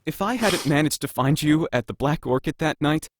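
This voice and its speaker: A man's voice: a little high, lively intonation, moderate pace, noisy background, lightly acted. A man playing a vulnerable, self-doubting young male android escort in a visual novel. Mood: insecure.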